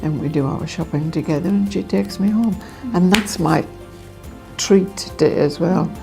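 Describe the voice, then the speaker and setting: A woman's voice: fairly low pitch, a little slow, gravelly. An older woman giving a casual account of her routine. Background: faint music.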